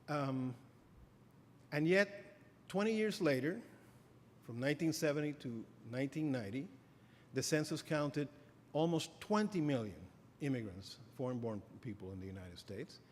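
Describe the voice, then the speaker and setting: A middle-aged man giving an academic lecture as a professor, halting with many fillers and restarts. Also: medium pitch, a little mellow, slight background noise. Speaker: professor giving a keynote address. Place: lecture hall. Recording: reverberant hall, faint ambience.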